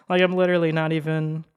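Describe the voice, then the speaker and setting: Young woman podcast host with a slow, flat, monotone voice, casual and candid. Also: low-pitched, clear, resonant tone. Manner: laid-back and candid, with frequent laughs and fillers. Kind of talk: casual solo podcast monologue.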